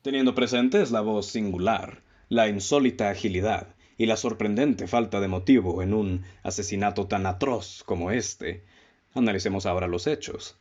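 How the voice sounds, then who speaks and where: An actor playing a calm, methodical male detective in a radio drama, measured and lecturing as he lays out his deductions and poses pointed questions. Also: lowish voice, muffled recording, natural voice. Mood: probing.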